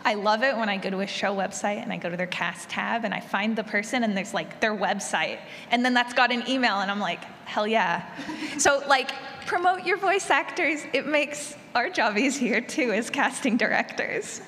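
A woman's voice: a little high, moderate pace, a bit bright. A woman speaking informally and emphatically as a producer on a panel. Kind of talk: casual panel talk. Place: large indoor convention panel room. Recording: reverberant noisy conference room.

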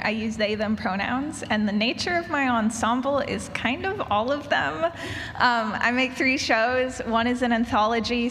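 A woman's voice: fairly high pitch, a bit bright. A woman talking casually as an audio drama maker on a conference panel. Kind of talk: casual explanatory panel talk. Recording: reverberant conference hall, noisy.

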